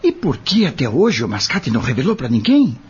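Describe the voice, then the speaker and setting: A man plays a curious, impatient Brazilian farm worker in an audio drama. His voice is very husky and swings widely as he questions and insists. The recording is a little dull, with faint music. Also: mid-range voice, wildly swooping pitch, fairly quick, full character voice. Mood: intrigued, impatient. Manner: insistent, questioning, plain-spoken. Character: curious, impatient male farm labourer with a grievance.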